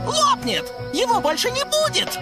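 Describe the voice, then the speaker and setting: Wildly animated high-voiced sly troll. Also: male voice, measured pace, full character voice. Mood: agitated. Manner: theatrical, scheming, swooping delivery. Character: sly bossy skinny male troll, greedy schemer. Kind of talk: animated film dub. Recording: music behind the voice.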